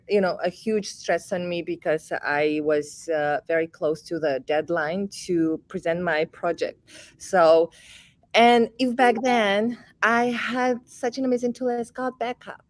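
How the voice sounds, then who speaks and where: A woman with a Ukrainian accent and a fairly low voice talks on a video call about her team's work. She sounds animated and often laughs or apologizes. The sound is dry and close, with no room echo. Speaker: dev team lead. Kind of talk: casual video call chat.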